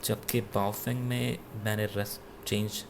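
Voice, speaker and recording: A man with an Indian accent giving an unscripted gadget review in a halting, self-correcting way, switching between two languages. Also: on the low side, flat delivery, creaky, noisy background. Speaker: product reviewer. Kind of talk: unscripted gadget review, mixing Hindi with English.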